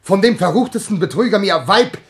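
An actor playing a proud, wronged general in a German radio verse drama, declaiming tragically with a raspy voice. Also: male voice, high voice, animated, fairly quick, exaggerated voice. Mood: outraged.

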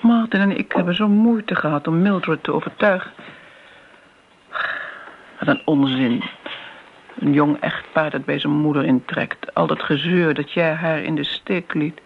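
Elderly genteel lady, creaky voice, wistful and chiding, radio drama, muffled noisy recording. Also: deep voice, unhurried, pauses often. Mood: self-righteous. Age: older. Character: elderly genteel woman, reminiscing, self-righteous old family friend. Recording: muffled old radio play, background noise.

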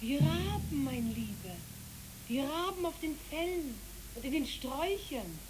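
An airy lady all enchanted, high voice, old-style radio play, clear hiss. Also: animated, unhurried. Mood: rapturous. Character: lady photographer on the heath, rapturous. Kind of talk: literary radio play acting.

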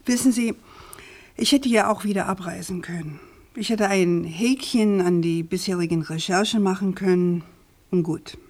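An investigative journalist in a radio crime play, with a fairly low voice. She is persistent and probing, putting her questions deliberately and refusing to let go. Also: darkish tone. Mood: insistent. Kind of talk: naturalistic German radio crime drama.

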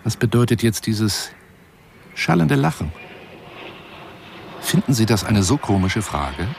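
A deep-voiced, wounded eccentric pours out a florid telephone monologue in a radio play. His gravelly voice swoops widely in pitch, theatrical and full of self-pity. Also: very animated, a little slow, a little hiss or hum, heavily acted. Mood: bitter, suspicious. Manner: theatrical, florid, self-pitying, shifting to whispers and outbursts. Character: eccentric, lovelorn, wounded male lion hunter monologuing on telephone.

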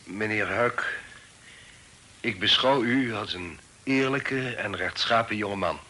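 An actor playing a secretive, authoritative middle-aged father in hiding in a period radio drama, speaking formally and deliberately. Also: fairly low pitch, pushed and bright, exaggerated voice. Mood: measured, courteous. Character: secretive, authoritative fugitive father, stern and shrewd. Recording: noisy old radio drama recording.

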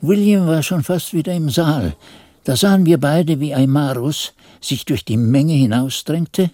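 An actor playing a reflective monk who narrates his memories in measured, literary radio drama storytelling. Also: a little high, dark tone, dry recording, studio quality, natural voice. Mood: reflective, suspenseful. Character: reflective monk recounting his novice days. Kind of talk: scripted first-person radio drama narration.

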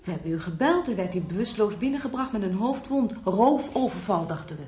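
Woman playing a businesslike nurse, highish voice, echoey with faint noise, radio play. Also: expressive, quick pace, warm tone, natural voice. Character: businesslike, cool female hospital nurse. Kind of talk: radio crime drama acting.

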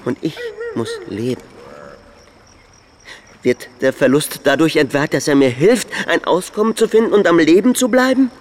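Man playing grieving male river peddler, high voice, plaintive, halting, insistent, radio drama, faint insect-filled ambience. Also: a little mellow, a little hiss or hum, close to an everyday voice. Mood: grieving, pleading. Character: grieving, guilt-ridden, pleading male river trader. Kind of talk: German radio play acting.

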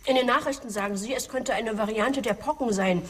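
An actress playing a matter-of-fact refugee and former police technician, earnest and direct, in a radio drama. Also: female voice, fairly high pitch, fairly quick, breathy edge. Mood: grave. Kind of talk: German radio drama acting. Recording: faint background ambience noise.

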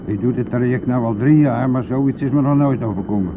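An actor playing a gruff, impatient, talkative country bus driver in a radio play, brusque and easily exasperated. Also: male voice, mid-range voice, a little fast, close to an everyday voice. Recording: noisy, thin, phone-like old radio recording.

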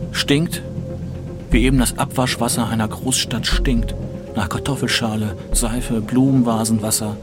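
A man's voice: mid-range voice, natural voice. A man playing an earnest, melancholic young writer, reciting literary texts in a radio play. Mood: grim, blunt.

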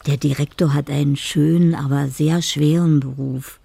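A woman's voice: deep voice, fairly even intonation, moderate pace, creaky, mellow, breathy edge, no echo, heavily acted. An actress playing a dreamy, lonely woman who muses poetically over her love letters in a radio drama. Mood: dreamy, musing. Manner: poetic, musing. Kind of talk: literary radio drama monologue.

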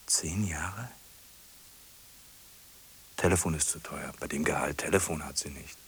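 An actor playing a solitary middle-aged man in a radio drama, ruminating in short questioning fragments with a husky, breathy voice. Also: rumbling low, measured pace, a bit bright, full character voice, low-quality recording. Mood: brooding, resolving. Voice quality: husky, breathy, often sinking to a whisper. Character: solitary man fixated on a lonely woman, musing.

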